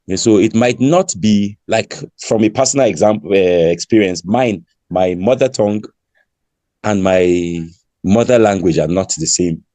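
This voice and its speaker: A Nigerian man on a panel speaks in a low, mellow voice. He talks loosely and at ease, with plenty of fillers. The sound is close and dry. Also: measured pace, no echo. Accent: Nigerian English. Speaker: panelist, linguist. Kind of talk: panel discussion.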